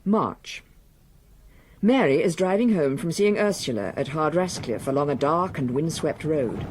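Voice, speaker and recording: A man's voice: fairly high pitch, lively intonation, unhurried, darkish tone, slight background noise. A man narrating a radio drama in a lyrical, scripted storytelling style. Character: lyrical present-tense narrator of a rural period drama.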